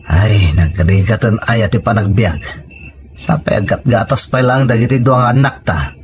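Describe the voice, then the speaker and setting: A man's voice: lowish voice, moderate pace, faint music behind, natural voice. A man with a Filipino accent playing a struggling, hard-hearted carpenter husband in an audio drama, blunt and insistent. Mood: weary, worried. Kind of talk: scripted audio drama acting.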